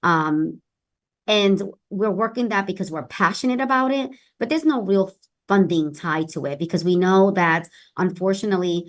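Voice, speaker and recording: A woman's voice: medium pitch, moderate pace. A woman speaking earnestly and emphatically as a guest in an interview about her work as a public official. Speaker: city health director, interview guest.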